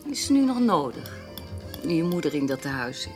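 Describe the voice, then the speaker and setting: A woman's voice: deep voice, very animated, brisk, a little mellow, faint music behind. A woman playing a self-assured illustrator in a naturalistic radio drama, teasing and direct, with short answers. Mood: playful, teasing.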